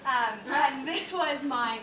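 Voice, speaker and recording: High-voiced woman in a theatre monologue. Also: slow pace, pushed and bright, slight background noise. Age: 30s. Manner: storytelling with laughs, mimicking customers. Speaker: solo stage performer. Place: theatre stage with live audience. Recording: roomy theatre sound from a distance. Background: upright bass, audience laughter.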